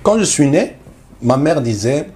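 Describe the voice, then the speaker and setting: Mellow-voiced man giving a testimony. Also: a little high, sing-song, unhurried. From Algeria. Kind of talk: personal religious testimony.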